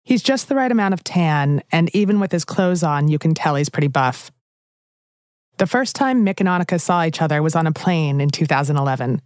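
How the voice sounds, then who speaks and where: A woman hosting a podcast, calmly narrating a scripted story. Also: low voice, fairly quick, no echo, studio quality. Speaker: podcast host.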